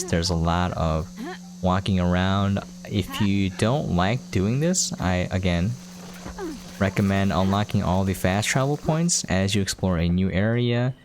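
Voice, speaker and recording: A man giving casual game commentary as a video host, in a laid-back, rambling way. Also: fairly low pitch. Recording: clear background noise.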